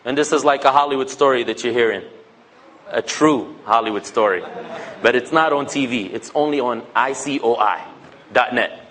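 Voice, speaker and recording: A man's voice: mid-range voice, normal speed, fairly bright tone, a little hiss or hum. A man, giving a casual, joking religious talk to young people and acting out bits of dialogue. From United States. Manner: conversational, joking, acts out quoted dialogue.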